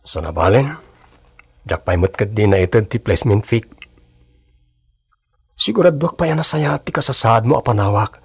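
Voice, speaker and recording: A man's voice: lowish voice, lively intonation, normal speed, creaky, long pauses, breathy edge, heavily acted. A man acting in an Ilocano radio drama as a devoted, hardworking young husband who drives a jeepney. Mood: reassuring, earnest.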